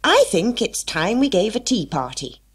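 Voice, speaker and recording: A woman's voice: mid-range voice, big pitch swings, normal speed, husky, voice pushed up. A woman voicing an eccentric, fussy male tea-party host in an emphatic, declamatory storybook manner. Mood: brisk, eager.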